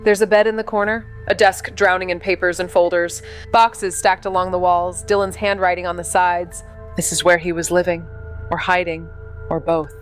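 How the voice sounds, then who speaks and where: An actress playing an excitable female amateur sleuth in an audio drama, talking in an eager, slangy way. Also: fairly low pitch, moderate pace, soft background music, lightly acted. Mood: tense.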